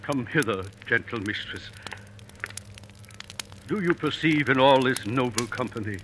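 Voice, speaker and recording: A man's voice: mid-range voice, measured pace, fairly bright tone, natural voice. An actor playing a bitter, grieving noble father in formal, stern, theatrical old radio Shakespeare style. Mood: wounded, resigned. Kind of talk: 1940s radio Shakespeare acting. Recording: noisy old radio broadcast.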